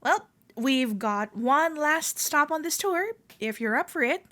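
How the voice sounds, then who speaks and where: A woman playing a friendly, teasing club member giving a tour in a roleplay audio, speaking to the listener in a gentle, reassuring way. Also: sits high, expressive, measured pace, dry recording. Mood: teasing, cozy.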